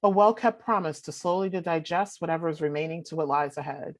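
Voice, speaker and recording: Forceful spoken-word poet, a woman. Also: deep voice, quick pace. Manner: forceful, rhythmic declamation. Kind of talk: spoken word poetry reading.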